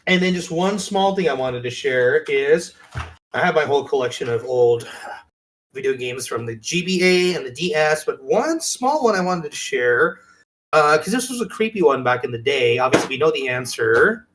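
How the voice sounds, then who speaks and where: A man co-hosting a podcast in casual show-and-tell chat, halting and interrupting himself as he presents. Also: fairly high pitch, expressive, fairly bright tone, a little hiss or hum. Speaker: podcast co-host.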